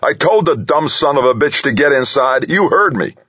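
A man's voice: deep voice, big pitch swings, moderate pace, gravelly, fairly bright tone, husky, voice pushed up, exaggerated voice. A man voicing a big, hot-tempered, foul-mouthed bully of an engineer in an audiobook, belligerent and roaring. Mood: furious. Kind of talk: audiobook character voice. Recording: slightly dull, roomy recording.

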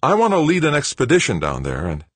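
Man voicing a rugged moon veteran, raised and forceful. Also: mid-range voice, big pitch swings, moderate pace, slightly rough, no room sound, voice pushed up. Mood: eager. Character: gruff veteran male moon explorer, rugged, awed, forceful.

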